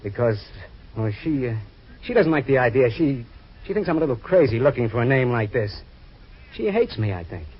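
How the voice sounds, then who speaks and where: An actor playing an anxious retired ex-fighter who stammers and pleads, in 1940s radio acting. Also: male voice, mid-range voice, sing-song, a little fast, husky. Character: anxious retired ex-fighter, plump, haunted by a name. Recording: dull old radio recording.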